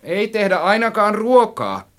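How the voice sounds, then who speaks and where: A man's voice: high voice, big pitch swings, fairly bright tone, voice pushed up. A man voicing an anxious, sensible story hero who speaks urgently and emphatically in an audiobook. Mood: gloomy.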